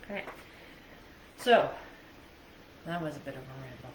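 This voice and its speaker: A woman's voice: extremely low pitch, animated, unhurried. A middle-aged Canadian woman chatting casually and digressively on a livestream, with a self-amused, rambling manner. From Ontario, Canada. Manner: chatty, laughs readily at herself, digressive. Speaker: host, trauma coach livestreamer. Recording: echoey room with faint background noise.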